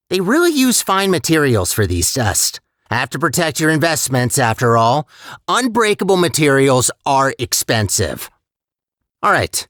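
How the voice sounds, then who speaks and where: A man's voice: fairly high pitch, fairly bright tone, dry recording, studio quality, exaggerated voice. A man playing a chatty, sardonic and untrustworthy AI guide in a video game, with wry, hesitant conversational asides. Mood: dry, exasperated. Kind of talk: video game character voice lines.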